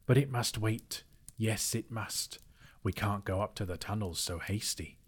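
A man's voice: fairly low pitch, breathy edge. A man voicing a slimy, sly cave creature who hisses and whispers, dragging out his words. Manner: hissing, whispery, drawn-out words.

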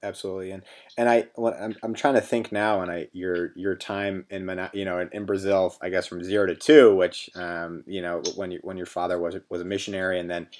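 A man with a low voice hosting an interview. He feels his way into each question, circling back with fillers and restarts. His tone stays soft and conversational. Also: level tone, brisk. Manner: hesitant, many fillers and restarts, soft-spoken. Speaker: interview host.

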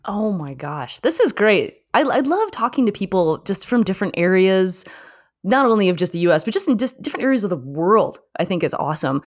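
A woman's voice: mid-range voice, very animated, brisk. A young woman from the Midwest hosting a podcast interview in a chatty, giggly way, with lots of fillers. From Michigan, US Midwest. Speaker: podcast host. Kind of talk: casual interview chat.

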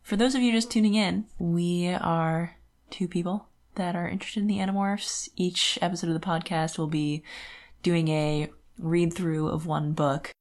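A woman's voice: lowish voice, steady tone, moderate pace, a little mellow. A young adult woman co-hosting a podcast, chatting casually about books. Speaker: podcast co-host. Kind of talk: casual book discussion chat.

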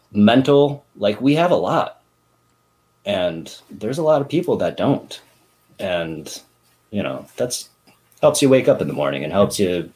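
A man in his thirties, born in Korea, talking in a casual, rambling way with lots of fillers. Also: fairly low pitch. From South Korea. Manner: rambling, emphatic, lots of fillers like "you know" and "bro". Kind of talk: casual chat.

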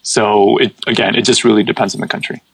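A man's voice: fairly low pitch, flat delivery, quick pace, breathy edge, no echo, poor audio. A man who grew up speaking Cantonese, a podcast guest chatting casually, laughing often and repeating words as he starts sentences. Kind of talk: casual interview chat.

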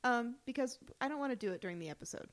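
A woman's voice: a little high, animated, very fast. Woman podcaster chatting casually. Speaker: podcast co-host. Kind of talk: casual TV episode discussion.

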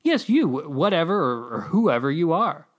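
A man's voice: a little high, sing-song, normal speed. A man voicing a flustered, chatty escapee from the underworld in a story, rambling with mock-formal politeness. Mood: exasperated, pleading.

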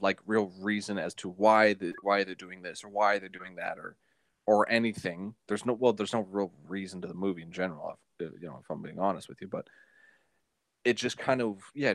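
A man co-hosting a podcast in casual film talk, halting and often repeating his phrases. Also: lowish voice, a bit bright, no echo. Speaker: podcast co-host.

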